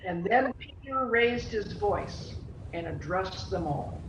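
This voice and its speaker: A narrator giving a measured, solemn and emphatic scripture reading for a church drama. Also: mid-range voice, lively intonation, fairly bright tone. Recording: echoey room with background noise.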